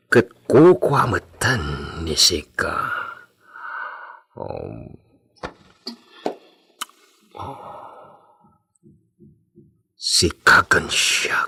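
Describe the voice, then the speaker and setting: A man's voice: sits low, creaky, pushed and bright, husky, heavily acted. A man playing an imperious, short-tempered wealthy señor in an Ilocano radio drama, theatrical and sprinkled with Spanish words. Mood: tender.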